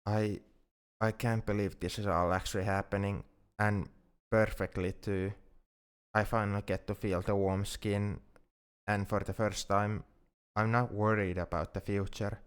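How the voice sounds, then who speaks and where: A smooth, lowish voice belongs to a shy alien in love , played by a man. He speaks very slowly and gently, halting and repeating himself with awkward tenderness. It is an intimate ASMR roleplay. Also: flat delivery, natural voice. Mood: tender, content.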